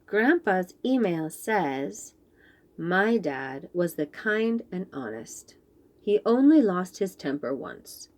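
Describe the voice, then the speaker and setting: A woman reads a picture book aloud to young children. She takes her time over every word and lets her voice rise and fall a great deal. Her manner is clear and patient, as if explaining each new word. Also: fairly low pitch. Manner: slow, clear and expressive, explaining fancy words.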